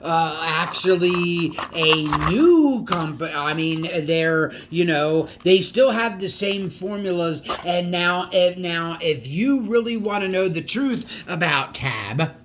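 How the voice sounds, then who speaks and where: A man's voice: sits high, animated, fairly bright tone, slight background noise. A man presenting his model car collection in a casual vlog, enthusiastic, with frequent restarts and a slight stutter. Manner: halting, repeats words and restarts often; stutters at times. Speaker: collector showing diecast models.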